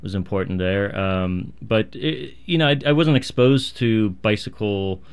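A man in his thirties from Iran and Pennsylvania, answering interview questions casually and haltingly in a radio studio. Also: on the low side, smooth. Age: 30s. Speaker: radio interview guest, physician. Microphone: studio microphone.

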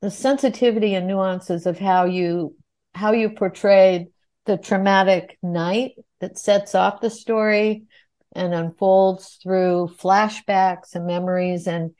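A woman's voice: mid-range voice, fairly even intonation, takes it slowly, a little mellow. A woman interviewing a filmmaker, speaking in long, articulate, reflective sentences. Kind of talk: thoughtful interview questions.

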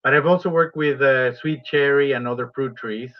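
A man from Ecuador, a university professor, explaining his work as a radio interview guest in a halting, pause-filled way. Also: medium pitch, no room sound, highs cut off.

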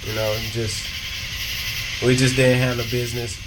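A man, a rapper from South Carolina talking casually in an interview, relaxed and rambling with many fillers. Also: medium pitch, normal speed. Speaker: rapper and songwriter. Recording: loud background noise.

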